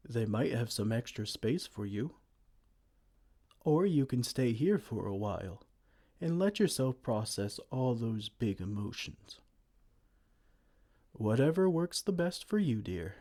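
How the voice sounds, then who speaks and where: A man voicing a kind, gentle male giant who cares for a tiny human, speaking softly and soothingly in an ASMR roleplay. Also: mid-range voice, sing-song, unhurried, pauses often. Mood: fond. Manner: soft-spoken, soothing, tender pet names.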